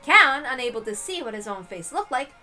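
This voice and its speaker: A woman narrates a story with a high, very animated voice. Her delivery is expressive and bright, and faint music plays behind her. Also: brisk. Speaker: reader.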